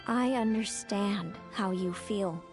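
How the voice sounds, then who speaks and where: A serene, melancholy seer in a game drama. She speaks at a middling pitch, measured and philosophical, with gentle sorrow and music faintly behind her. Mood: philosophical, consoling. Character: calm, melancholy, knowing woman with otherworldly foresight. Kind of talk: dramatic game story voice acting.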